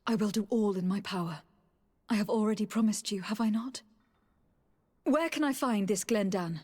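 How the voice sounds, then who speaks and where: A woman's voice: mid-range voice, moderate pace, a little mellow, breathy edge, lightly acted. An actress playing a loyal, composed noblewoman diplomat in a video game, speaking formally and sincerely. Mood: caring, resolute. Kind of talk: dramatic video game acting. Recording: long hall-like reverb.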